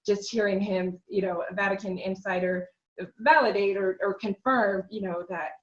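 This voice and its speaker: A woman's voice: mid-range voice, steady tone, moderate pace. Earnest woman, slightly dull recording. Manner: earnest, rambling storytelling with fillers. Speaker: podcast guest. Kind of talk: casual interview chat.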